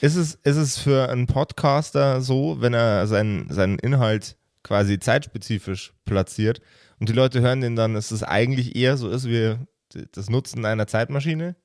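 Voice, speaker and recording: A man's voice: mid-range voice, normal speed, darkish tone, no echo, clean studio sound. A man narrating an improvised tabletop adventure as game master, switching between dramatic storytelling and casual asides. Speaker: game master and podcast host.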